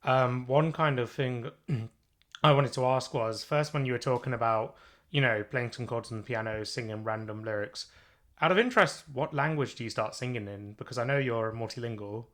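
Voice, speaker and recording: A man hosting a podcast, asking curious questions in a relaxed, chatty way with some fillers. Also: medium pitch, normal speed. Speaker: podcast host. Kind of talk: casual interview chat.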